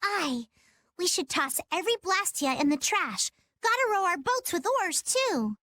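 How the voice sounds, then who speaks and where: A woman's voice: very high voice, very animated, a little slow, bright, forceful tone, no echo, full character voice. A woman voicing a small, playful, naive sidekick in an anime-style video game.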